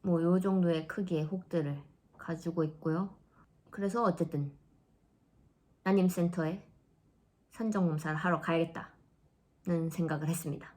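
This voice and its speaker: A woman in her thirties chatting casually in a vlog, laughing often and dropping into soft asides. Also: on the low side, fairly even intonation, moderate pace, long pauses. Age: 30s. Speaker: vlogger.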